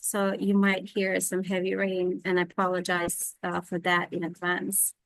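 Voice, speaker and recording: A woman's voice: on the low side, monotone, a little slow, a bit bright, no room sound, low-quality recording. A woman from Serbia giving an online scientific lecture as a university lecturer, speaking with many fillers and restarts. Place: home in Thailand during monsoon rain. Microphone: video call.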